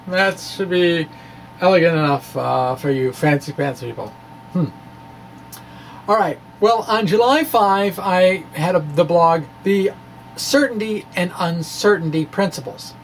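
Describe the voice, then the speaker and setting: A man's voice: sits high, measured pace, low-quality recording. A middle-aged man presents like a science video blogger, theatrical and folksy. His delivery mixes dramatic reading with jokey, informal asides. He is recorded on a microphone in a small room, with some background noise. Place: small indoor room with bookshelves. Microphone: microphone on a stand in front of him.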